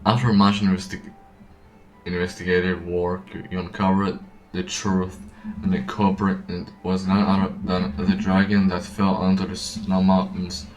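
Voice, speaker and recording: A man streaming a game, reading story text aloud haltingly and repeating words as he stumbles. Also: deep voice, monotone. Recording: echoey room, distant mic, game music.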